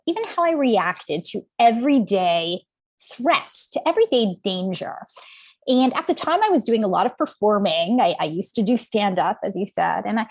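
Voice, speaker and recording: A woman in her forties, an author from Canada, answering interview questions from home in an animated, storytelling way with frequent ums. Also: on the high side, no room sound. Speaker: author guest.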